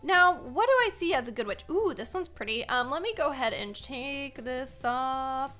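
A young American woman hosts a gaming video in a high, bright voice. Her commentary is casual, chatty and expressive, full of exclamations, with music playing in the background. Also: moderate pace. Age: young adult.

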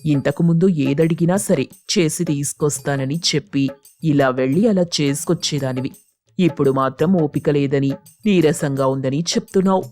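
Woman as a flat-toned, mellow cartoon husband crow. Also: low-pitched, normal speed, soft background music, natural voice. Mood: mildly reproachful. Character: affectionate, nagging husband crow craving hot fritters. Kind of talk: children's cartoon story dialogue.

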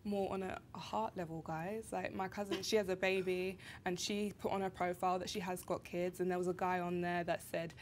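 A woman's voice: fairly low pitch, a little fast, few pauses, a little hiss or hum. A young woman, telling dating stories in a casual panel chat and acting out what people said. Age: young adult. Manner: animated storyteller acting out quotes. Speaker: panel guest. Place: small studio with grey backdrop.

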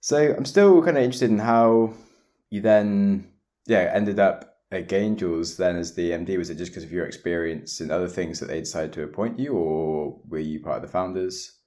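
Casting: young male podcast host, deep voice, laid-back chatty questions. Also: normal speed. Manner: relaxed, chatty, uses "like" a lot. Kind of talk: casual interview chat.

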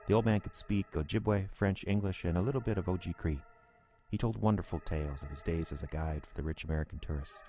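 Horror radio play narrator: reflective man recalling the past, very low voice, music underneath. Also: fast, mellow. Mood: reflective, fond. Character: reflective male storyteller, repairman recalling eerie past. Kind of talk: scripted first-person horror drama narration.

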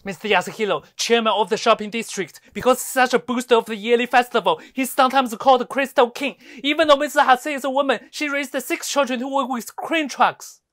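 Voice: mid-range voice, moderate pace, few pauses, lightly acted. An actor playing a sardonic, fiery lawyer in a theatrical monologue, scolding with scathing rhetorical questions. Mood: stirring appeal. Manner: scathing, rhetorical, swinging from hushed to ranting. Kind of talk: theatrical dramatic monologue performance.

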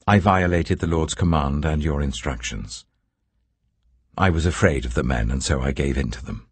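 A man's voice: very deep voice, normal speed, breathy edge, no room sound, voice pushed down. Older man doing a pleading guilty king. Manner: pleading, defensive.

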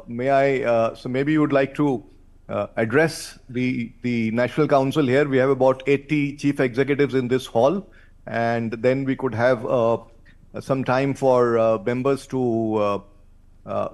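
A man's voice: medium pitch, fairly even intonation, a little slow, darkish tone. A middle-aged man with an Indian accent chairing a meeting, giving a polite, formal welcome in a hesitant, courteous way. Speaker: industry council president chairing the meeting. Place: conference hall, at a long panel table.

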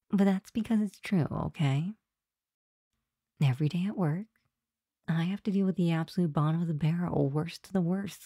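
Woman doing a tired sweet demon gf for asmr roleplay, low relaxed voice, soft n affectionate, close up. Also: no room sound. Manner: soft, affectionate, sighing, confiding. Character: sweet, tired demon girlfriend venting after work. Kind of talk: ASMR roleplay, intimate monologue to listener. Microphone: close microphone, dry room.

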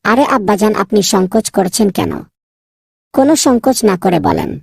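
A woman voicing a naive, proud young scholar son in a cartoon, easily exasperated, with a husky, creaky voice. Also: mid-range voice, brisk, no room sound, clean studio sound. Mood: obliging. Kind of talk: cartoon dubbing.

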